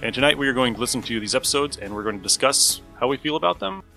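A man's voice: medium pitch, animated, racing, vocal fry, loud music behind the voice. A man hosting a podcast, giving a friendly, informal intro into a close mic. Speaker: podcast host.

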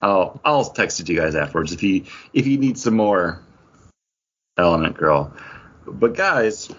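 A man's voice: low voice, animated, unhurried, a bit bright, husky, muffled recording. A man hosting a podcast, talking casually about comics and beer in a conversational way. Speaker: podcast host.

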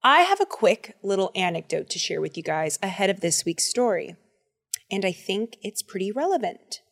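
A young adult woman co-hosting a podcast in casual chat, playful and animated, slipping into little voices. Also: medium pitch, normal speed, creaky. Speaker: podcast co-host.

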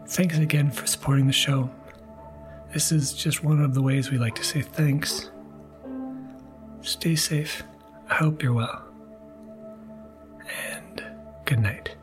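A man giving a gentle, unhurried, casual introduction as the host of a podcast. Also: mid-range voice, steady tone, over music.

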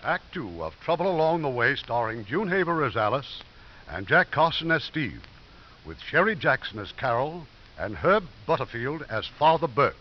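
Lively radio announcer, man. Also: medium pitch, normal speed. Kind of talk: 1950s scripted radio announcing. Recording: dull, noisy 1950s radio recording.

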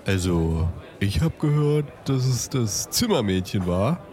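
A man's voice: on the low side, sing-song, measured pace, a little mellow. A man playing a cheeky, tipsy pub regular spreading rumours in a scoffing way in an amateur audio drama. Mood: cheeky gossip. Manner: gossipy, scoffing.

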